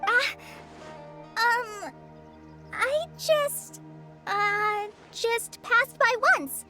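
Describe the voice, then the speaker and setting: A woman playing a shy, kindly teenage girl in a video game, speaking gently and hesitantly. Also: very high voice, very slow, music in the background, exaggerated voice. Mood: flustered, shy. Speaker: voice actor in a game. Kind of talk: video game story dialogue.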